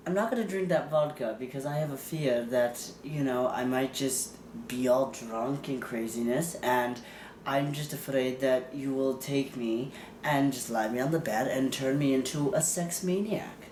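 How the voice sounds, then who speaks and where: A man's voice: mid-range voice, darkish tone, a little hiss or hum, lightly acted. A man playing a suspicious, wealthy client in an improvised comedy sketch, talking in a campy, theatrical way and calling people "darling". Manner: campy, drawn-out "darling" endings. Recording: roomy echo.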